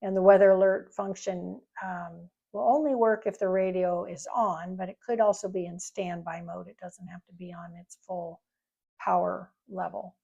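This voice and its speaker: Creaky-voiced woman casually demonstrating a product. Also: fairly low pitch, fairly even intonation, moderate pace, dark tone, highs cut off. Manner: informal, rambling, explanatory. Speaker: product reviewer.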